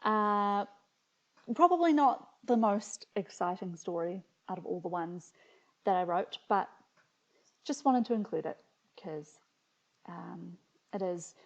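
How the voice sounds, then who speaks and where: A woman's voice: mid-range voice, expressive, slow pace, pauses often. A woman with a New Zealand accent chatting casually and reading aloud as a video host, in a hesitant, reflective, amused way, on slightly muffled audio. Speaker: video host reading her childhood stories.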